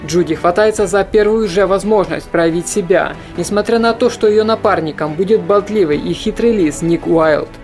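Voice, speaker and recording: A narrator reading a scripted countdown of animated films in a steady, informative voice-over. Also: mid-range voice, normal speed, hardly any pauses, faint music behind. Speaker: film channel narrator.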